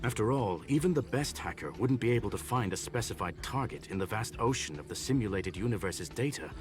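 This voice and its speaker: A man voicing a learned mechanical gentleman in a video game, formal, calm and eloquent. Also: fairly low pitch, a little fast, close to an everyday voice. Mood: courteous, analytical. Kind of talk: scripted video game dialogue. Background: game music.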